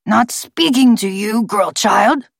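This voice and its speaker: A woman narrator puts on a male talking raven from an audiobook, proud and imperious. The voice is forced and gravelly, recorded very dry. Each phrase comes out formal and accusing, full of distrust. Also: on the high side, slow pace, no room sound, voice pushed up, full character voice. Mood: suspicious, demanding. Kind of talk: audiobook character voice.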